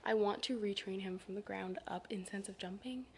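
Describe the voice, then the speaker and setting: A woman's voice: medium pitch, fairly quick, slight background noise. A teenage girl chatting casually to a handheld phone camera at a barn, full of fillers and often dropping to a whisper. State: sweaty and out of breath after riding. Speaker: vlogger. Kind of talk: casual vlog chat. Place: indoor riding arena and barn. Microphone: phone camera at arm's length.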